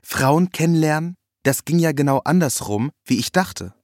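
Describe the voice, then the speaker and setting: A man's voice: a little high, expressive, normal speed, a little mellow, no room sound, clean studio sound, close to an everyday voice. Man reading as self-assured thirty-plus dating-course devotee. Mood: knowing. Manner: lecturing, matter-of-fact, peppered with English jargon. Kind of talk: quoted dialogue in a read story.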